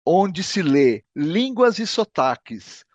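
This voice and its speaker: A man interviewing a guest, asking formal, measured questions and adding short voice-over notes. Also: high voice, sing-song, no echo, dull audio.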